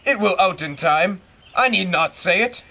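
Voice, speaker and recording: A man's voice: high-pitched, expressive, moderate pace, creaky, sharp tone, full character voice. A man playing a stubborn, reluctant old prophet in an amateur Greek tragedy, speaking in cryptic, foreboding lines.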